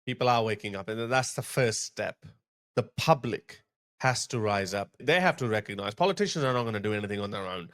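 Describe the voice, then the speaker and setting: A man's voice: lowish voice, a little fast, a bit bright. A man hosting an interview, speaking earnestly and with emphasis. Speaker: podcast host. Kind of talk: conversational interview.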